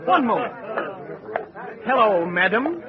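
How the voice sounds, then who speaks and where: Boastful sailor, florid manners, comic radio acting, muffled sound, barroom crowd behind. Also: male voice, high voice, big pitch swings, slow, full character voice. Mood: jaunty, florid. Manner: pompous, florid, wisecracking. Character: boastful, tipsy old seafaring blowhard with florid manners.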